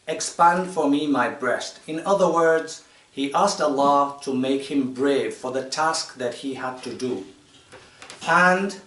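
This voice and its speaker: A man in his fifties from Tanzania, speaking to a group of children in a measured, explanatory way with pauses and fillers. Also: a little high, a bit bright. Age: 50s. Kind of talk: informal talk to children. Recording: echoey room, distant mic.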